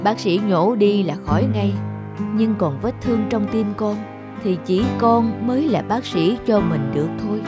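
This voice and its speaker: A wise cartoon mother, played by an actress, tender and soothing. She speaks in an earnest, measured way with music clearly behind her. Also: mid-range voice, lively intonation, close to an everyday voice. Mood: tender, consoling. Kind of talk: cartoon voice acting.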